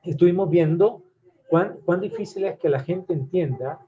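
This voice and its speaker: A man's voice: sits high, moderate pace, breathy edge. Venezuelan man on a tinny call line. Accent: Venezuelan Spanish. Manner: hesitant, many fillers and restarts. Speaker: webinar attendee asking a question. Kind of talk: casual comment in a Q&A. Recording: tinny phone-like call audio.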